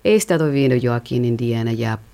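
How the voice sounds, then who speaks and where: Woman, very deep voice, dark mellow tone, artist guest, halting with fillers. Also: animated. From southern Texas, on the Mexican border. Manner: thoughtful, many fillers and restarts, slips into English. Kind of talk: conversational interview answers.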